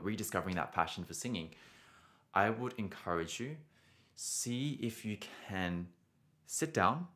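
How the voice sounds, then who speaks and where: Deep-voiced young man, singing coach, casual live Q&A, encouraging. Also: fairly bright tone. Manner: casual, encouraging, frequent "right?" tags. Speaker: singing teacher. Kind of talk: live-streamed Q&A lesson.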